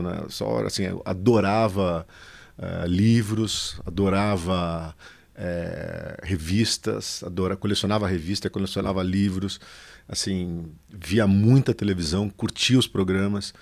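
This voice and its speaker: A middle-aged man speaks in a low, gravelly voice. He talks loosely and at ease, filling his sentences with little hesitations and drawn-out pauses as he tells his story as an interview guest. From São Paulo, Brazil. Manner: relaxed, many fillers, drawn-out pauses. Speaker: guest, advertising agency founder. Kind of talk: casual conversational interview.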